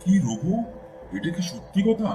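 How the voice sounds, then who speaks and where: A man's voice: high-pitched, sing-song, unhurried, dark tone, soft background music. A man voicing a stern, indignant village landlord in a cartoon, imperious and exclamatory. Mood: shocked, questioning. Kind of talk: cartoon voice acting.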